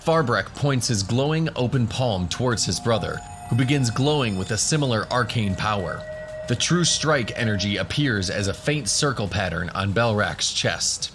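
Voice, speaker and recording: A man's voice: fairly low pitch, expressive, normal speed. A man giving scripted narration for a fantasy audio drama. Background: music.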